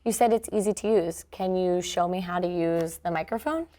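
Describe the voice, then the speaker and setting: A woman's voice: fairly low pitch, normal speed, warm tone. A woman with an American accent playing a teacher who reads curious, plain questions in a scripted dialogue.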